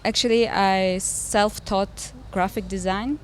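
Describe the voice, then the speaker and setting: A young woman from Armenia who grew up in Russia and speaks Russian as her first language. She tells her life story as a podcast guest, warmly and with frequent laughter. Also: medium pitch, steady tone, takes it slowly, slight background noise. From Armenia, raised in Russia. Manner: friendly, laughs often, clear storytelling. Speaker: guest, interior designer. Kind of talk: casual podcast interview.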